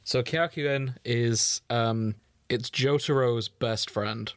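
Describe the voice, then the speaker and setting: A man's voice: medium pitch, steady tone, slow, a bit bright, clean tone, no room sound. Male podcast co-host, droll and understated, casual conversation, close dry recording. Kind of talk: casual chat.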